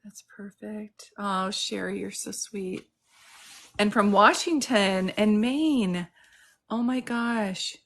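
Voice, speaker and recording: A woman's voice: mid-range voice, slow, fairly bright tone. Chatty woman greeting live-stream viewers. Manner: chatty, greets viewers by name, reads comments aloud. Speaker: psychic medium host. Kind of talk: casual live-stream chat. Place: home in Santa Cruz.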